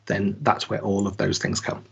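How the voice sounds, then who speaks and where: A man's voice: lowish voice, completely flat delivery, normal speed, gravelly, slightly rough. A male guest speaker answering questions on a video call, hesitant with many restarts. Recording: dull video call audio.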